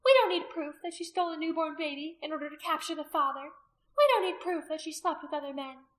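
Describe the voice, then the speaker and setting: A very high, loud, piercing female voice for a zealous pagan high priestess. She declaims in imperious, accusing phrases, full of venom, as in a dramatic audiobook. The sound is roomy. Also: fast. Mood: venomous, accusing. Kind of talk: dramatic audiobook character acting.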